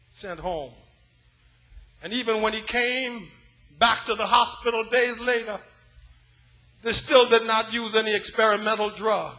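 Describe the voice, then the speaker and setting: A man from West Africa preaching an impassioned sermon, dramatic, moving between hushed gravity and loud, repeated cries. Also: high-pitched, poor audio. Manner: dramatic, swinging from hushed grave passages to shouted repetition.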